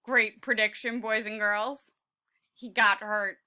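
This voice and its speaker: A woman reading a story aloud as a teacher, expressive and encouraging, pausing to put questions to the children. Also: medium pitch, smooth. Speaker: second grade teacher.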